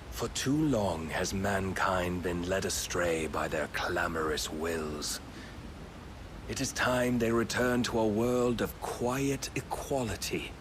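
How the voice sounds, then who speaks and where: An actor playing a zealous, superior servant of a god in a video game, with archaic, measured, sermonizing speech. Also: male voice, low voice, background noise. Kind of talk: dramatic video game cutscene acting.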